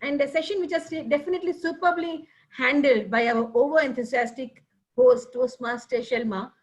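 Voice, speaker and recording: A high-pitched woman, talking over a video call. She speaks in a chatty, encouraging way, with plenty of fillers as she praises each member. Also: normal speed. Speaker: general evaluator at a speaking club meeting. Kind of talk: online club meeting evaluation.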